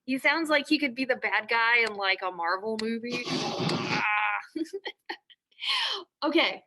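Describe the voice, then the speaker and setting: Bright-voiced chatty woman physics teacher. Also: fairly high pitch, unhurried, low-quality recording. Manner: chatty, laughs easily. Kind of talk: recorded classroom lesson. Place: classroom with whiteboard.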